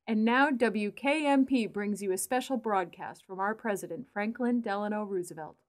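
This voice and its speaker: A woman's voice: mid-range voice, moderate pace. A woman reading scripted announcements in the style of a 1930s radio station.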